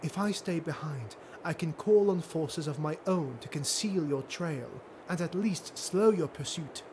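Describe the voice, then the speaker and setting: Man voicing a haughty, higher-pitched dark elf noblewoman. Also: measured pace, slight background noise, lightly acted, rough recording. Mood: urgent, commanding. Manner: imperious, taunting. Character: defiant, haughty female dark elf noble, scheming half-sister.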